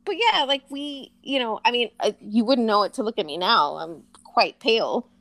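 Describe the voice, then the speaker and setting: Brief: woman from Puerto Rico, candid co-host, curses freely, slightly high voice. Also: lively intonation, normal speed, fairly bright tone, dry recording. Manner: blunt, swears freely, laughs often. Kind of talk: casual chat.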